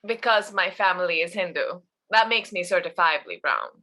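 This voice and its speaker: A woman playing a dry, quick-witted political aide in a comedy table read, deadpan and sarcastic. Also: on the low side. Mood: dry, sardonic. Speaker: actor in a screenplay table read. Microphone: video call.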